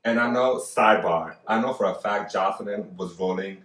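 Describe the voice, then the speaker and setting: A man hosting a podcast, chatting casually in an animated, gossipy way and cursing freely. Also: fairly low pitch, normal speed, echoey room, far from the mic. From Dominican family, learned Spanish first. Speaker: podcast host. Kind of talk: casual chat.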